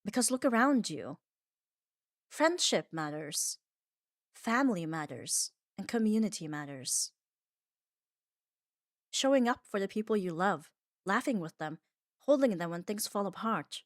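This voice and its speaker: Female, mid pitch, calm and reassuring ASMR delivery, unhurried, very dry close sound. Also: dry recording. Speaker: ASMR audio creator. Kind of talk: calm ASMR comfort monologue.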